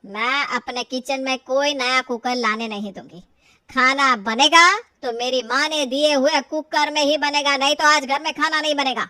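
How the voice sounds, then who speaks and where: A woman's voice: high voice, fairly even intonation, moderate pace, bright, forceful tone, pitched below the performer's own voice. A woman voicing a grumbling, stubborn old mother-in-law who scolds and complains in a storybook tale. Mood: indignant, stubborn. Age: older.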